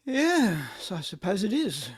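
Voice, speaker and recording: A woman playing a miserable middle-aged man in an amateur play reading, creaky, weary and halting. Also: mid-range voice, very animated. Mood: weary, flat.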